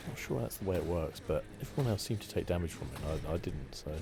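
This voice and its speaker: A soft-spoken, low-key man giving casual ASMR commentary while he plays a video game. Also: lively intonation, fast, gravelly, music in the background. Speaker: gaming channel host. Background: video game sound.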